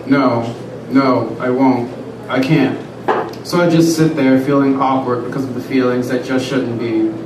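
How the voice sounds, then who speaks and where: A man reading his own first-person prose aloud at a live reading, in a quiet, measured way. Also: mid-range voice, fairly even intonation. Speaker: student reader. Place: large room at a live reading. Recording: echoey room, far from the mic, noisy.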